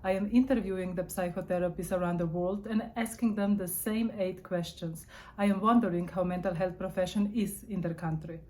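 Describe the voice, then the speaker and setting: A woman hosting an interview, measured and reading prepared questions. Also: fairly low pitch, fairly even intonation, a little mellow. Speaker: interviewer host. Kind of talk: scripted introduction and interview questions.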